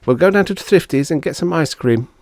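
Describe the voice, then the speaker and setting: A man's voice: fairly high pitch, animated, brisk, darkish tone, no echo, clean studio sound, voice pushed up. A man voicing a bossy, impatient young boy, a scornful big brother in an audiobook story. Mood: offhand. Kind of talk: audiobook character voice.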